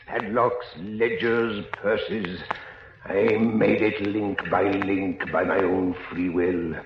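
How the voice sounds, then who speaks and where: A gloomy ghost, creaky and slow, grave intoning, old hissy radio play. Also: male voice, fairly low pitch. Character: solemn, mournful ghost of a dead businessman. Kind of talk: 1930s radio drama acting. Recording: muffled, echoey old radio recording with hiss.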